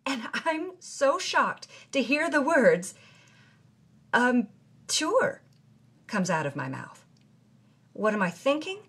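A woman's voice: sits high, very animated, takes it slowly, pauses often, fairly bright tone, husky, full character voice. A woman playing a brash thirty-year-old 1950s B-movie actress, narrating her journal in a wry, breezy way. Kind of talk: scripted narration.

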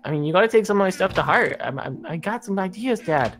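A man's voice: high voice, animated, quick pace, fairly bright tone, a little hiss or hum. A man playing an earnest, exasperated son stranded on a zombie island in an improvised game roleplay, with a nagging manner. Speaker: gaming roleplay host.

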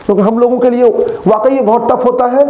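A man's voice: very high-pitched, steady tone, quick pace, reverberant, highs cut off. A young man, a coaching teacher, chatting casually to his students and speaking to them directly, filmed indoors in front of a screen.

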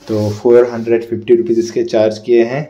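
A man's voice: medium pitch, little pitch movement, fairly quick, mellow, roomy sound, lo-fi sound. A man in his thirties narrating a casual step-by-step laundry how-to, talking to viewers as friends in an indoor workshop. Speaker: laundry business owner.

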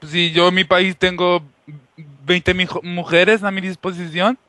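A man playing an insistent, boastful foreign gamer on a prank call, halting and repetitive, with a put-on Moroccan accent. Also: high-pitched, level tone, bright, forceful tone, no echo. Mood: pushy, boastful.

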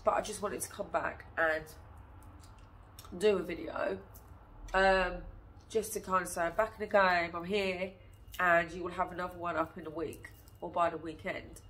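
A woman's voice: lowish voice, steady tone, moderate pace, pauses often, a bit bright, low-quality recording. A woman in her thirties with a stuffy nose from a cold, chatting directly to camera as an early years teacher, asking her viewers lots of questions. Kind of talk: casual teaching talk to camera. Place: small indoor room, seated on a chair. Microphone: phone camera at a short distance.

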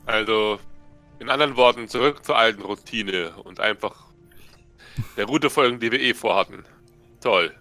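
A man's voice: lowish voice, normal speed, long pauses, bright, forceful tone, faint music behind. A man speaking as a player in a tabletop role-playing game, partly in character.

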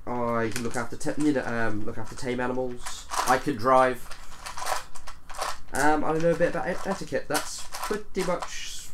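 A man's voice: mid-range voice, normal speed. Rambling man chatting over faint room noise. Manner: rambling, frequent "you know". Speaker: streamer and player. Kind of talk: casual tabletop game chat. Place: living room with a group.

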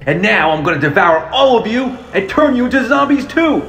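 An actor playing a sarcastic zombie in a comic horror audio drama. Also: male voice, sits high, sing-song, brisk, over music. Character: sarcastic male zombie, former commander.

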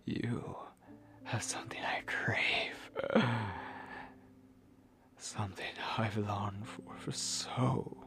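A man playing a menacing, possessive, courtly vampire lord in an ASMR roleplay, speaking in a breathy, deliberate whisper with lingering pauses. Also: on the low side, fairly bright tone, heavily acted. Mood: hushed, craving. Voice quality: breathy whisper with gravelly creak.

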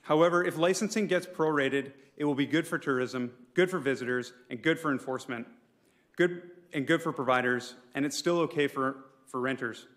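A man's voice: mid-range voice, brisk, fairly bright tone. A man speaks at a public council meeting in a mid-range voice. He reads a formal prepared address as an advocate, pleading his case and now and then tripping on a word. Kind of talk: prepared speech to a city council.

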